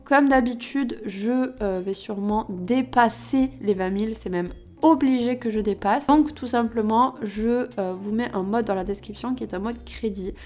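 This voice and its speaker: Young woman, hoarse husky sick voice, rambly chatty game commentary, a bit high. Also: normal speed, hardly any pauses, a little mellow. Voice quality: husky, cracked voice. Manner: chatty, thinking aloud with many fillers. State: hoarse from illness. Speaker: game builder YouTuber. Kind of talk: casual gameplay commentary.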